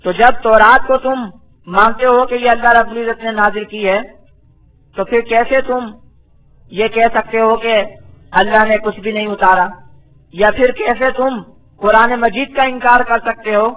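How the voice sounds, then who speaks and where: A man's voice: very high-pitched, fairly even intonation, normal speed, long pauses, fairly bright tone, highs cut off. A man giving a religious lecture as a Quran teacher, reciting verses phrase by phrase, then translating and explaining them with didactic repetition. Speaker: Islamic scholar, Quran teacher.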